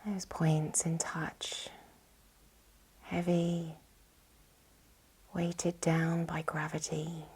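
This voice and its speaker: A woman with a soft, breathy, whispery voice, soothingly guiding a yoga nidra relaxation with gentle instructions. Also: sits low, completely flat delivery, extremely slow, pauses often, rough recording. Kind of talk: guided relaxation meditation.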